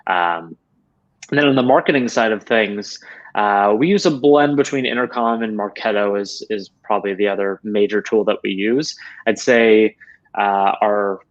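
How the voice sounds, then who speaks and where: Low-voiced man on a dull video call. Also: moderate pace. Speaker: interview guest, head of support operations. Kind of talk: conversational interview answers. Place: small home room, indoors.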